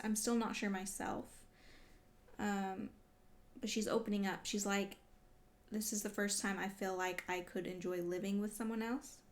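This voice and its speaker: A woman doing a casual read-along reaction as a vlogger, talking in an exclamatory, emotionally invested way. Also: mid-range voice. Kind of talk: casual reaction commentary while reading.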